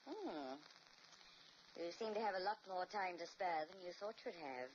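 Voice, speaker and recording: An actress playing a cruel, mocking female sculptor who taunts in low whispers, in an old radio drama. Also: lively intonation, moderate pace, close to an everyday voice. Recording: dull old radio recording with hiss.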